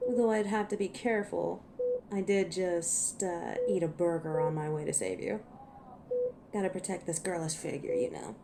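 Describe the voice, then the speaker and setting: A woman's voice: on the low side, fairly even intonation, normal speed, gravelly, heavy background noise. A woman playing a cocky, teasing werewolf in a scripted audio roleplay, sardonic and protective with an edge of menace, speaking to the listener. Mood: teasing, relieved. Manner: sardonic, taunting, playful.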